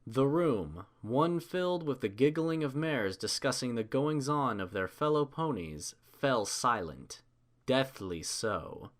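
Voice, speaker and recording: A man narrating a fan fiction story in an animated storytelling style. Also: medium pitch, slow.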